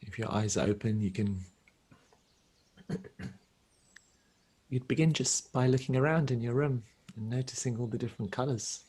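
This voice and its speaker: A man leading a guided meditation as a teacher, speaking gently and without hurry, leaving long pauses. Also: mid-range voice, slightly rough.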